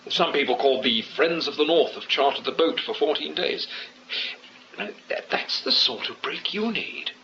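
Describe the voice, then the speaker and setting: An actor playing a sensible British family doctor in a radio drama, measured and matter-of-fact. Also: male voice, medium pitch, bright, forceful tone. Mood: affable. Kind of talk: 1980s BBC radio drama acting. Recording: muffled radio broadcast with hiss.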